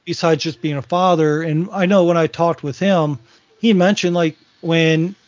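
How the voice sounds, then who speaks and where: 40s guy, kinda high voice, rambly podcast chat, dry muffled audio. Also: steady tone, moderate pace, no echo. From Virginia. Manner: rambling, restarts sentences. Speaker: podcast co-host. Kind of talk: casual chat.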